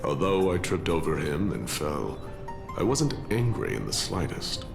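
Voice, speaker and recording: An actor playing a weary, philosophical warrior in a video game, speaking in a solemn, measured, grandiloquent way with a gravelly voice. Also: male voice, low-pitched, big pitch swings, over music, exaggerated voice. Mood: somber, reflective. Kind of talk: dramatic game voice acting, storytelling monologue.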